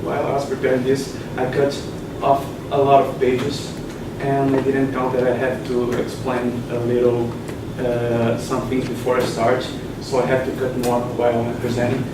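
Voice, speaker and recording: A man's voice: mid-range voice, monotone. Brazilian man presenting at a conference. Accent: Brazilian Portuguese. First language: Portuguese. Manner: halting, many pauses and fillers. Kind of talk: academic conference talk. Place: conference session room. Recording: echoey room from far off, noisy.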